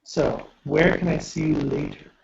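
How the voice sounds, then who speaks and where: A man's voice: a little slow, close to an everyday voice. A man voicing a flirtatious Frenchman with a put-on French accent, gallant and courtly. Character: smooth-talking Frenchman, adult man, flirtatious. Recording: dull lo-fi mic.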